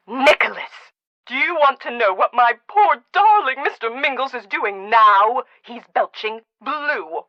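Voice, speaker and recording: Casting: vain, wealthy game villainess, condescending and imperious, highly animated pitch, dry close sound. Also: female voice, no room sound. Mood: outraged. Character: vain, haughty rich woman doting on her pet, secretly villainous. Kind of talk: video game character dialogue.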